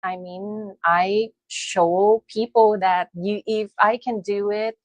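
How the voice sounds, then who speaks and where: A woman's voice: mid-range voice, steady tone, slow, dry recording. A middle-aged woman from Thailand with a Thai accent, speaking hesitantly with many fillers as an interview guest. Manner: hesitant, many fillers, non-native grammar. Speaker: guest, aesthetician. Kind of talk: casual interview.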